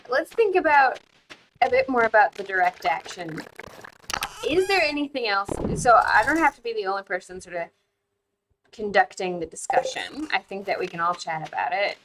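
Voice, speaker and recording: A woman's voice: medium pitch, background noise. A woman from the United States chatting casually while playing a game on her stream, thinking aloud with fillers. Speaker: streamer and host. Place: home, living abroad.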